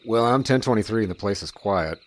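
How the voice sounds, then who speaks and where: A man playing a gruff, matter-of-fact small-town sheriff in an audio drama. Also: lowish voice, fairly quick, very noisy, close to an everyday voice. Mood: alert, official.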